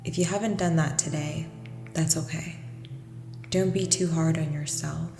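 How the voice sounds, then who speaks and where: Slow, low-voiced woman guiding meditation. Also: fairly even intonation, creaky. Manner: unhurried, gentle, instructional with long pauses. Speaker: meditation guide. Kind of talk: guided meditation, slow soothing scripted narration. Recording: echoey long reverb with music underneath. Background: music.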